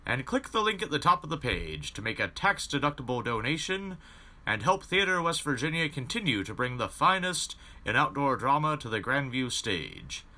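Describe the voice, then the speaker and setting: A man's voice: mid-range voice, pushed and bright. A man hosting a radio drama broadcast with formal, scripted showman-like announcements. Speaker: announcer, host.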